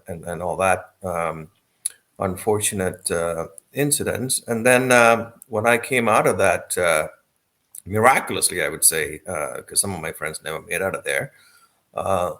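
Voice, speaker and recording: A deep-voiced man in his fifties, originally from Sri Lanka, talks through his past as a remote interview guest. He speaks in a measured way, pausing often to gather his thoughts, and adds a wry, self-deprecating humor. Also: fairly bright tone. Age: 50s. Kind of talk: remote interview, recounting life story.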